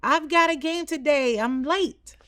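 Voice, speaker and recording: A woman's voice: high-pitched, measured pace, bright, forceful tone, dry recording, distant mic, voice pushed up. An earnest, eager young boy from a children's storybook, voiced by a woman reading aloud. He talks in a childlike, sincere way, with a close, dry sound. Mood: eager, hurried.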